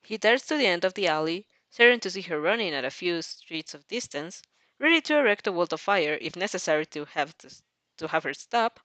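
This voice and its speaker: A woman's voice: lowish voice, lively intonation, fairly quick, a bit bright, no echo. A woman casually reading fan fiction aloud and commenting as a reaction host, playful and exclamatory, easily breaking into giggles.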